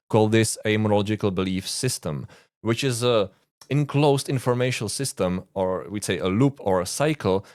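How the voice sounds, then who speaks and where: Czech-accented man, low dry voice. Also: dry recording. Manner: earnest, informal, with frequent uh fillers and dry asides. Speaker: YouTube host, conspiracy researcher. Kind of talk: explainer monologue to camera.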